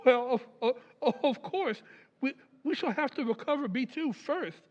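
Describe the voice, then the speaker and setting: A man's voice: extremely high pitch, a little slow, darkish tone, long reverb tail, muffled recording. A man playing a nervous, flustered inventor of robots in an old-time radio drama recreation, stammering and breaking into uneasy laughs.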